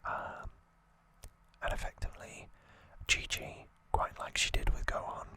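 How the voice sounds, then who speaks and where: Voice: a little slow, sharp tone. An ASMR host whispering an unscripted story recap in a hesitant, slightly wry way.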